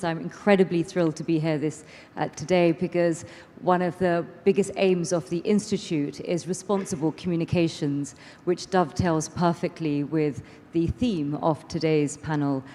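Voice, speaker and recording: A woman's voice: sits low, fairly even intonation, normal speed, few pauses, darkish tone. A woman panelist speaking politely and formally on stage in a large hall. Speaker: panelist, public relations professional. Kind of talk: polite, formal self-introduction. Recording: reverberant hall, stage sound.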